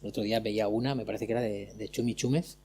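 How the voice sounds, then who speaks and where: A man's voice: on the low side, steady tone, fast talker, warm tone, husky. A man speaking on an illustration panel, joking and stumbling over words now and then. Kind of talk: casual panel discussion.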